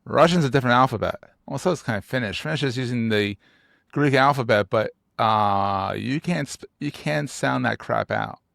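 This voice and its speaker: A man with a Philadelphia accent hosting a podcast interview, talking in an animated, joking way with emphatic repeats. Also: mid-range voice, normal speed, gravelly, dry recording, clean studio sound. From United States. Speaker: podcast host. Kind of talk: casual interview chat.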